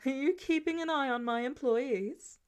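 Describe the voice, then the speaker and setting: A woman's voice: high voice, moderate pace, close to an everyday voice. A woman voicing a casual, wry male boss and inventor in a story reading. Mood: wryly amused. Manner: casual, teasing, glib.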